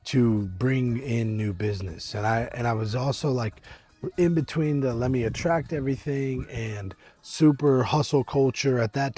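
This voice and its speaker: Young guy vlogging outside at a winery, husky deep voice, lots of ums and restarts, bit of eighties music faint in the back. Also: darkish tone. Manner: earnest, rambling, many fillers and restarts. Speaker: business coach. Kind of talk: casual motivational talk to camera. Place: outdoors at a winery.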